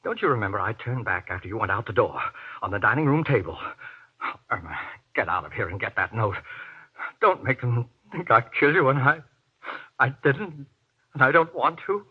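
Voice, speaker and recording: An actor playing a desperate, guilt-ridden husband in a 1940s radio horror play, pleading in halting, anguished, melodramatic bursts. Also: male voice, mid-range voice, lively intonation, breathy edge. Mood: remorseful pleading. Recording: muffled vintage radio recording.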